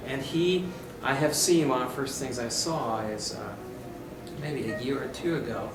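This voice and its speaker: A man from Austria speaks as an interview guest, explaining things emphatically and checking for agreement. He is somewhat off the mic in an echoey space. Loud music plays behind his voice. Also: mid-range voice, normal speed, recorded from a distance. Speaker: interview guest, corporate marketing executive. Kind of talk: conversational interview. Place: conference venue.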